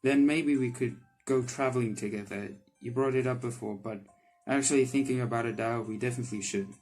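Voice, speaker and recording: A man's voice: mid-range voice, brisk, darkish tone, faint music behind, close to an everyday voice. A man voicing a blunt but caring candy shop owner in a visual novel, speaking in a dry, casual way.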